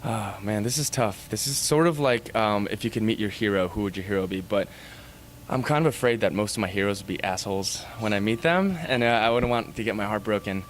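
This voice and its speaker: Man, 30s, musician, low pitch, halting casual interview answers. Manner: hesitant, rambling with many fillers. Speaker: band musician.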